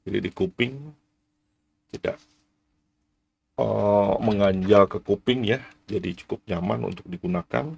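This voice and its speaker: A man's voice: lowish voice, a little slow, gravelly, pauses often, a little mellow, husky. A man in his forties giving a casual, explanatory product review to camera, often tagging his sentences. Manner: frequent "ya" tags, explanatory. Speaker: vlogger reviewing gear.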